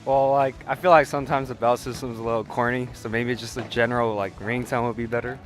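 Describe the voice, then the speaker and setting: A teenage male student gives casual interview opinions, talking very quickly. Faint music sits behind his voice. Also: medium pitch, steady tone. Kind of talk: casual vox pop answer.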